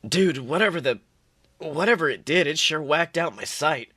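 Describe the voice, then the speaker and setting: A man doing a brash young male hunter from a fan story, blunt and casual. The voice is bright and a little high for a man. It is recorded close and dry. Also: expressive, moderate pace, dry recording. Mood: indignant.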